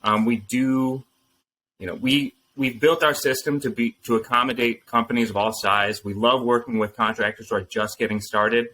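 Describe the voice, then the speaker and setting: A man talking earnestly and thoughtfully as a founder guest in an interview, pausing with frequent ums. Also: mid-range voice, steady tone, pushed and bright, a little hiss or hum, poor audio. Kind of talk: casual interview answers.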